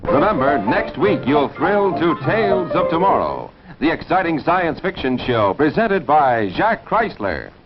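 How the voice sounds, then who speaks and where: A man delivering a polished, emphatic 1950s advertising pitch as a commercial announcer. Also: big pitch swings, measured pace, hardly any pauses, over music. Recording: dull, faintly noisy vintage soundtrack.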